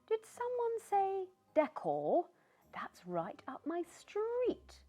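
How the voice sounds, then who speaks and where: A woman's voice: very high-pitched, huge pitch swings, extremely slow, dark tone, soft background music, pitched above the performer's own voice, exaggerated voice. A woman voicing a cheerful, friendly young male pumpkin in a storybook, talking in an eager, bubbly way. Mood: cheery.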